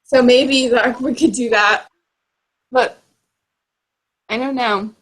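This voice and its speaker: A woman's voice: fairly high pitch, fairly even intonation, takes it slowly, fairly bright tone. A young woman co-hosting a casual, informal chat about books. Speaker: book discussion show co-host.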